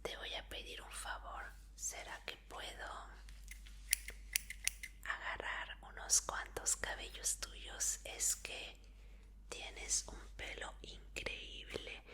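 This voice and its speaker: A woman's voice: takes it slowly, lightly acted. A woman voicing an obsessive female fan who holds her idol captive, in a whispered roleplay, coaxing and apologetic. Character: obsessive female fan, sweetly creepy captor.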